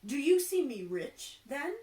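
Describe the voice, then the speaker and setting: A woman voicing a gullible, excitable young male carpenter in a storybook reading. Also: high voice, measured pace, voice pushed up. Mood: delighted, eager. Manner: excitable, exclaiming. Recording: roomy echo, distant microphone.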